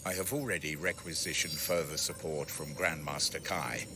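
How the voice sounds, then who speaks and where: A man's voice: extremely low pitch, fairly even intonation, normal speed, very noisy. A man playing a grim, suspicious knight in a sci-fi video game, speaking in a formal, severe way. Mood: grave. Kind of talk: dramatic video game voice acting. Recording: music under the voice.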